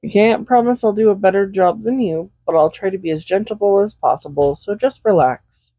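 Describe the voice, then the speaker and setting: A woman playing a doting, possessive villain in an intimate roleplay audio, speaking softly and without hurry in a gently teasing manner. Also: mid-range voice, no echo, natural voice. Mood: tender, caring. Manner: soft-spoken, unhurried, gently teasing. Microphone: Blue Yeti USB microphone, close. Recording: slightly dull home microphone recording.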